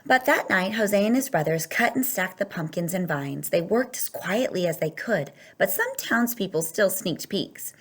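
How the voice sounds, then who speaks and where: A woman's voice: medium pitch, lively intonation, normal speed, hardly any pauses. A woman reading a children's picture book aloud, telling it expressively and stretching words for emphasis. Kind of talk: read-aloud children's story narration.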